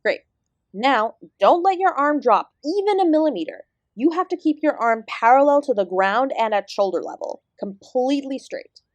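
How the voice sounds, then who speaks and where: A woman's voice: high voice, animated, normal speed, dry recording, dull audio. A woman playing an ancient celestial dance teacher in an audio roleplay, sarcastic and exasperated, speaking with sardonic, sweary emphasis. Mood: weary, snarky. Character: ancient female apsara dance guru, sarcastic, exasperated, fiercely independent. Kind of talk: scripted audio roleplay addressed to the listener.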